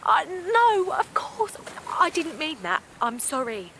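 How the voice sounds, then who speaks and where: A woman's voice: sits high, sing-song, slow, a bit bright, a little hiss or hum, exaggerated voice. An actress playing a naive, kindly middle-aged village woman in an audio drama, hesitant and defensive, with colloquial phrasing. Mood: upset, pleading. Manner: hesitant, colloquial, protesting, repeats herself. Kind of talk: naturalistic audio drama acting.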